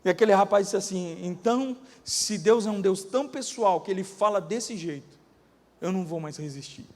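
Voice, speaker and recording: A male pastor with a Brazilian Portuguese accent, preaching a sermon and telling testimonies dramatically, swinging between loud bursts and hushed asides. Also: high voice, moderate pace, in a large hall. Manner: dramatic storytelling, acting out quoted voices, swinging from loud to hushed. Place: church.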